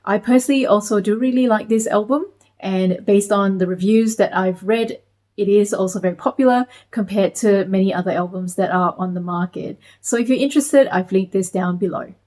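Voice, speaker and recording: A woman in her thirties from Malaysia, talking to camera in a measured, explanatory way as a parenting vlogger. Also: medium pitch, warm tone. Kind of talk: scripted talk to camera.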